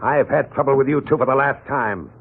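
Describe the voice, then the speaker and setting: An actor playing a vengeful tough-guy gangster in a 1940s radio drama, slangy and threatening with a gravelly, raspy voice. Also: mid-range voice, brisk. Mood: menacing. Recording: muffled, narrow-band 1940s radio recording.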